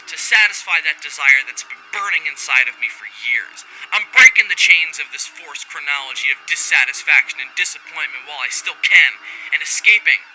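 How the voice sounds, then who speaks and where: A brash, rebellious male scientist , acted by a man, recording a rambling log for a sci-fi audio drama. His voice is very bright and forceful, swinging widely in pitch as he wryly interrupts himself. Faint machinery and alarm noise sits behind him. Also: heavily acted, rough recording. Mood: yearning, determined. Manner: rambling, wry, theatrical, self-interrupting. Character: brash, foul-mouthed rogue male scientist, giddy and rebellious.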